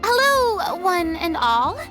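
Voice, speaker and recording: A woman's voice: very high voice, big pitch swings, takes it slowly, music in the background, exaggerated voice. A woman voicing a proud, theatrical young lady with a flustered, stammering manner in an anime game. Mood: sheepish. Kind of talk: anime video game voice acting.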